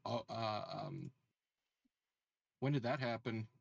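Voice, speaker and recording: A man playing an online tabletop game, chatting casually in a halting, questioning way. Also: lowish voice, vocal fry.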